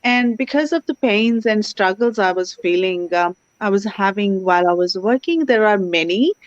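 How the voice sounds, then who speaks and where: A woman's voice: medium pitch, animated, moderate pace, dry recording. A woman, a guest on an online interview, speaking earnestly with many "you know" fillers over a video call. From India. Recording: dry, dull lo-fi call audio.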